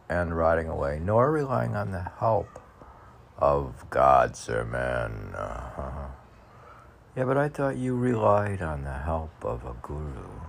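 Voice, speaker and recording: An older man rambling through a solo podcast and poem readings, halting and musing, often questioning himself aloud. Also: deep voice, creaky, slight background noise. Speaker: poet reading own poems on a podcast.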